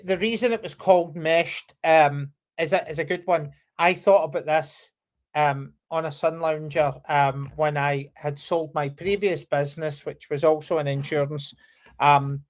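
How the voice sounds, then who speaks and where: A man in his forties from near Glasgow, with an anglicised Scottish accent, talking casually as an interview guest, with lots of ums and easy laughs. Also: fairly high pitch. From Scotland, near Glasgow. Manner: chatty, frequent ums, laughs easily. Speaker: guest, company founder.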